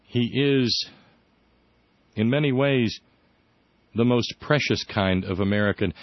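A man's voice: mid-range voice, slow, dry recording. Earnest male radio host, lo-fi sound. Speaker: radio talk show host. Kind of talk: radio interview.

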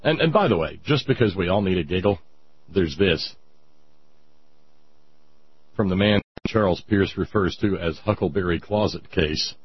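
Husky, sarcastic male talk-show host. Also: low voice, expressive, normal speed, pauses often, highs cut off. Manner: sarcastic, rambling. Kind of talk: sarcastic political commentary.

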